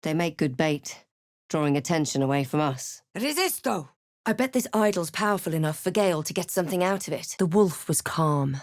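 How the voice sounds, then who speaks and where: An actress playing a thoughtful, wary adventurer and spellcaster in a fantasy video game, speaking in a measured, deliberate way with dry snark. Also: female voice, low voice, animated, dry recording. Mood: dry, practical, then commanding incantation. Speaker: video game player character. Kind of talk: scripted game voice lines, narration and barks.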